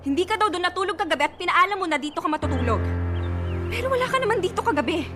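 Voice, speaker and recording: A woman's voice: very high-pitched, animated, rapid-fire, music in the background. A betrayed pregnant young woman. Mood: accusing. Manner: accusing, escalates to shouting and crying. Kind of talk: radio drama acting.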